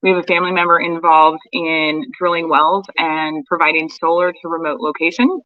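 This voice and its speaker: A homeschooling mom chatting casually on a podcast, rambling with many fillers and restarts. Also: female voice, low voice, little pitch movement, normal speed, no echo, lo-fi sound.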